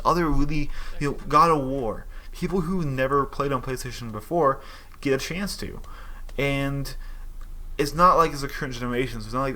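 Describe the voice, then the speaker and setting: A man's voice: mid-range voice, sharp tone. A young man giving casual, opinionated gaming commentary, rambling and restarting his sentences as he thinks aloud.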